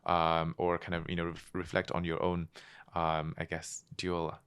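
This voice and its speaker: A man hosts a casual podcast interview, asking loose questions full of fillers and restarts. His voice is very low and smooth, with a clean, resonant tone. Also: unhurried, no room sound. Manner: relaxed, hesitant questions with fillers. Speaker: podcast host.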